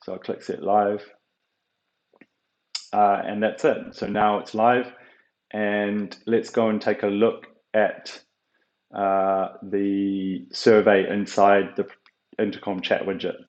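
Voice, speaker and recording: A man presenting a software tutorial as an unscripted screen-share walkthrough, halting often with ums and uhs. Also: lowish voice, level tone. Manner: hesitant, halting explanation with frequent ums and uhs. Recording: roomy, echoing, slightly dull sound.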